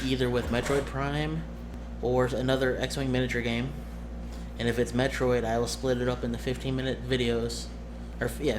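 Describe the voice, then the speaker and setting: A man talking casually to his viewers as a streamer and game master, halting and rambling as he corrects himself. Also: medium pitch, noisy background.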